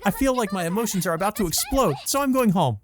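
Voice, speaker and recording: A man acts out an excitable young vampire woman in a visual novel. The voice is pushed high and girlish, dramatic and possessive, swinging between indignation and teasing. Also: fast talker, over music. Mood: flustered, elated. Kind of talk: visual novel character read aloud.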